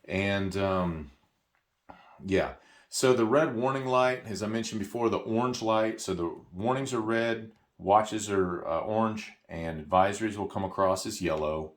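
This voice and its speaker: A man's voice: lowish voice, unhurried. A man giving a casual, hands-on product tutorial, explaining things informally and repeating key points for emphasis.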